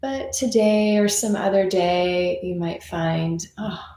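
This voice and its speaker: A woman leading a guided meditation in an unhurried, conversational and reassuring manner, as a teacher would. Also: lowish voice, dark tone. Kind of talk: guided meditation, gentle unscripted instruction. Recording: roomy, echoing sound.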